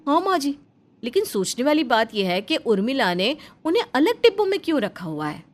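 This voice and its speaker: A woman's voice: high-pitched, big pitch swings, fairly quick, voice pushed up. A woman voicing a suspicious, conspiratorial young daughter-in-law in a cartoon family story, speaking emphatically. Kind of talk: animated family story dialogue.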